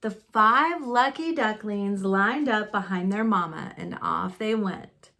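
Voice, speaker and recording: A woman reading a children's storybook aloud expressively and deliberately, with dramatic pauses. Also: mid-range voice, creaky, sharp tone. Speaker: storybook reader.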